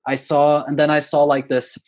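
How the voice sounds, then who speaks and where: A man's voice: on the high side, completely flat delivery, moderate pace, a little mellow. A young man from Norway in his twenties, answering interview questions in a casual, hesitant way with many fillers and restarts. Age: 20s. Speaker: guest poet.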